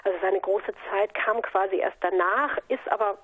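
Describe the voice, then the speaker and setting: A woman's voice: mid-range voice, steady tone, normal speed, husky. A woman author answering interview questions in a reflective, explanatory way. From North Sea island, Germany. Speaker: interview guest, author and singer. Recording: narrow telephone-like radio sound.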